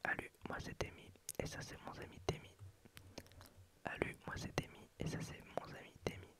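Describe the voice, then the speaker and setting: A man's voice: normal speed, a bit bright, close to an everyday voice. A man whispering the lines of a cute, odd little cat-dog creature in broken, childlike phrasing. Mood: silly.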